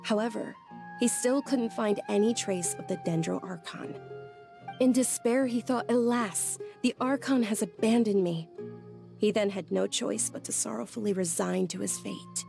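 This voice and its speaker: A woman playing a gentle, polite young follower of a deity in a video game, speaking with soft, hesitant courtesy. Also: medium pitch, moderate pace, gravelly, lightly acted. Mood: earnest storytelling. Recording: faint music behind the voice. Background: background music.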